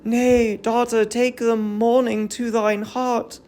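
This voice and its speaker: A woman playing an aged, fallen queen in a verse tragedy, mournful, stern and weary, with solemn drawn-out lines. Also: a little high, level tone, darkish tone. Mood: grave, resigned. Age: older. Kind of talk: classical verse tragedy, declaimed.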